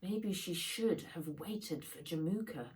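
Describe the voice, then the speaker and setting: A woman reading a children's adventure book aloud in expressive narration, as an author reading her own work. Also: fairly low pitch, slow.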